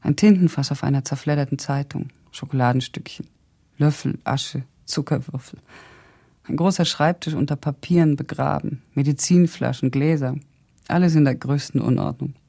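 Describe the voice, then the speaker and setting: Educated young russian woman in a radio drama, gravelly soft-dark voice, thoughtful precise storytelling. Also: a bass voice, moderate pace, exaggerated voice. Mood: reflective. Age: young adult. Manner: reflective, precise, descriptive storytelling. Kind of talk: radio drama monologue as recollection.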